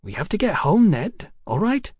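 A man voicing a high-pitched cheeky London showgirl. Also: big pitch swings, moderate pace, darkish tone, breathy edge, no echo, clean studio sound, heavily acted. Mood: teasing. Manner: cheeky, blunt, colloquial.

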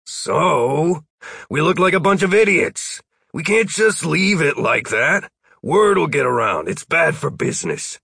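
A man's voice: on the high side, sing-song, a little slow, vocal fry, pushed and bright, breathy edge, no echo, clean studio sound, voice pushed up, exaggerated voice. Man voicing a sly, folksy older wizard mentor. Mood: persuasive, scheming. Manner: folksy, teasing, persuasive.

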